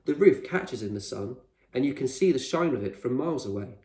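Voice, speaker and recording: A man voicing an eccentric, proud male explorer in a storybook, speaking with measured authority and reassurance. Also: medium pitch, animated, mellow, close to an everyday voice. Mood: firm, instructive. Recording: roomy echo, distant microphone.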